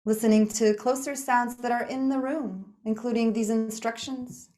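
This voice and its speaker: A woman leading a guided meditation in a soothing, unhurried manner, speaking in short phrases with pauses between them. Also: a little high, steady tone, mellow. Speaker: yoga nidra meditation guide.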